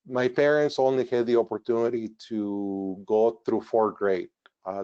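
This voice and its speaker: A man's voice: on the low side, dark tone. A man in his sixties with a Mexican Spanish accent, a native Spanish speaker who grew up in northern Mexico, giving an earnest, explanatory webinar talk as a retired engineer, with frequent fillers. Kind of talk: presentation talk over slides. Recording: dull lo-fi webinar audio.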